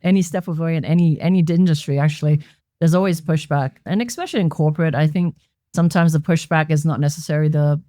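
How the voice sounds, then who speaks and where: A woman's voice: sits low, fast talker, mellow, no echo, clean studio sound. A woman from Hong Kong, a guest on an interview podcast, who explains her views in a reflective, thoughtful way. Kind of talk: conversational interview answers. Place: members' club lounge.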